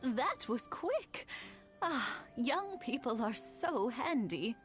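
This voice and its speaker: Unhurried, expressive old wife. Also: female voice, high voice, music in the background, exaggerated voice. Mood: fond, wistful. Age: older. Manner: unhurried, reflective. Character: warm elderly wife, fond and gently teasing. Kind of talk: video game voice acting.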